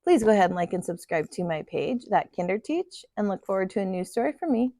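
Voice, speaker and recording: A woman reading a children's picture book aloud in an expressive kindergarten teacher's style. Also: medium pitch, quick pace, dry recording, studio quality. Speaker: kindergarten teacher, storybook reader.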